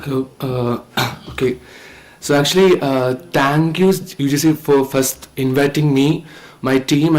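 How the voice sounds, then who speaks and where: A nineteen-year-old man with an Indian accent gives an earnest, halting, informal thank-you speech as a student filmmaker. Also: a little high, poor audio. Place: event stage at a film festival.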